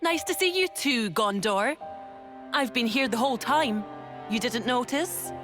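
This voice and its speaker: A woman's voice: fairly high pitch, lively intonation, a little slow. An actress playing a proud but easily wounded young woman in a video game. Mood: wry. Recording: clear background music over dialogue.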